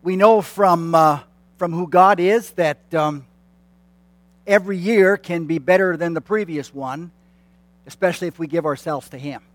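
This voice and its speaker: A man's voice: on the high side, normal speed, no room sound. A man in his late fifties, a church consultant, addressing a congregation in a friendly, self-deprecating way with many fillers and restarts. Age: 50s. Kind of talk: announcements to a congregation. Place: church sanctuary.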